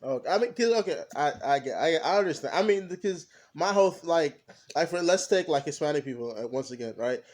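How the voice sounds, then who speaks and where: A young man co-hosting a podcast, talking casually and haltingly, often stopping to search for his words. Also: on the high side, lively intonation, darkish tone. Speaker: podcast co-host. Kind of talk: casual discussion.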